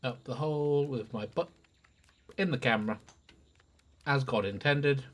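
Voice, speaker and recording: A man's voice: medium pitch, a little slow, fairly bright tone. A man giving casual live game commentary as a streamer, with a dry, self-deprecating, sweary manner.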